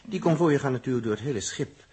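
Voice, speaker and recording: A man with a Netherlands Dutch accent playing a sardonic, grumbling young space traveller in a 1960s radio drama, with a dry, offhand, husky delivery. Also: medium pitch, expressive, quick pace, darkish tone. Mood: dry, dismissive.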